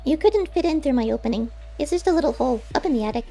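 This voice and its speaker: A clever little male squirrel, played by a woman reading a storybook, speaks in a high, soft-edged voice. He is plain-spoken and wistful, always ready to bargain. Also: animated, rapid-fire, faint music behind. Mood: practical. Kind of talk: audiobook character voice.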